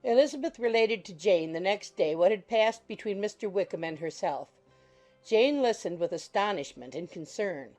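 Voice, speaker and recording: A woman's voice: mid-range voice, expressive, moderate pace, darkish tone, faint music behind. A woman reading scripted literary narration for an audiobook.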